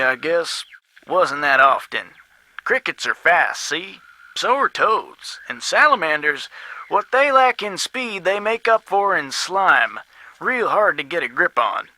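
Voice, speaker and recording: A man's voice: sits high, pushed and bright, no room sound, exaggerated voice. An actor playing a folksy, long-winded man with a Southern US accent, rambling in a game. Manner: garrulous, drawling folksy storytelling. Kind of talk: game voice acting.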